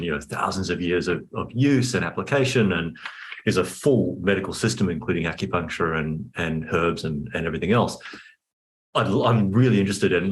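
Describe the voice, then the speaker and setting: A man co-hosting a podcast interview, asking questions and giving frequent short, encouraging agreements. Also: low voice. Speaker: podcast co-host.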